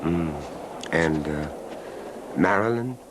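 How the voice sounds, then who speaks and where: A very deep, unhurried confidant. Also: male voice, noisy background. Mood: gently probing. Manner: gentle, measured prompting with hesitations. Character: quiet, sympathetic male listener drawing out a story. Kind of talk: 1970s radio drama acting.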